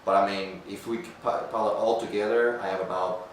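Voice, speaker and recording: Earnest thirties guy from Portugal, low voice, recorded far off in a boomy room. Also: steady tone, fairly quick. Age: 30s. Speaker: aspiring personal trainer. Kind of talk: casual chat. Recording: far from mic, very echoey room, faint noise.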